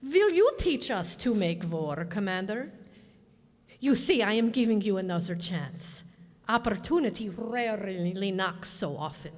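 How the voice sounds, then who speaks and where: An actress playing a scheming onion leader in a radio play, formal, condescending and falsely courteous. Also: female voice, medium pitch, animated, measured pace, a little mellow, natural voice. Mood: persuasive. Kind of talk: stage radio drama acting. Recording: reverberant hall, stage performance.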